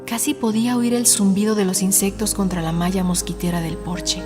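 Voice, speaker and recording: A woman reading a novel as an audiobook narrator, in a measured, suspenseful storytelling manner. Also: mid-range voice, fairly even intonation. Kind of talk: scripted dramatic narration of a novel. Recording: studio narration over a music bed. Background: background music.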